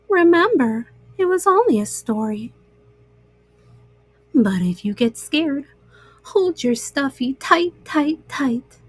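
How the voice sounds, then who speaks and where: A woman reading a storybook to young children in an expressive, soothing and reassuring manner. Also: sits high, no room sound.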